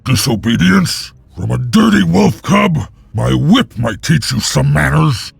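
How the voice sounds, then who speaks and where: An actor playing a cruel, scheming overseer in a video game, with a gravelly, menacing voice. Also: male voice, on the high side, animated, unhurried, no echo, full character voice. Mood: sneering menace. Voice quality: gravelly, husky. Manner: menacing, swooping between sneer and bellow. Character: cruel bullying slave-driver overseer, male, scheming and threatening. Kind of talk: video game cutscene acting. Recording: music behind.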